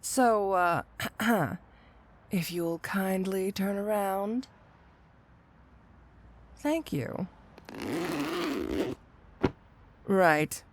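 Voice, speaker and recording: A woman's voice: medium pitch, extremely slow, pauses often. A woman playing a flirty, sly supervillain cat burglar in an intimate ASMR roleplay, with a coy, drawling, playfully condescending delivery.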